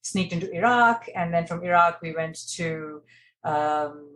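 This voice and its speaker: A woman's voice: on the low side, a little slow, fairly bright tone, slight background noise. A woman in her thirties from Kuwait, telling her life story in a podcast interview with many fillers and restarts. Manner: conversational, many "you know" fillers and restarts. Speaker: interior designer, guest.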